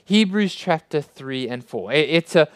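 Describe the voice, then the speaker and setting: A man's voice: a little high, lively intonation, measured pace, dry recording, clean studio sound. A man with a South African accent, preaching a sermon as a guest pastor, praying and then teaching with friendly joking asides. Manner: friendly, joking asides, rising emphasis on rhetorical questions. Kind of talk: sermon with opening prayer.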